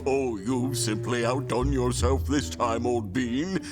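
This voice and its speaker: A man playing a pompous, theatrical criminal mastermind who gloats in a drawn-out villainous monologue in an audio drama. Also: medium pitch. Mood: gloating, jolly. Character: gloating male shape-shifting criminal mastermind, theatrical, posing as Santa. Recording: music under the voice.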